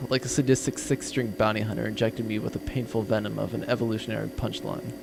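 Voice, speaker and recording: An actor playing a weary, sardonic pirate accountant in pain, with dry, wordy deadpan delivery in a comedy audio drama. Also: male voice, fairly low pitch, quick pace, creaky, noisy background.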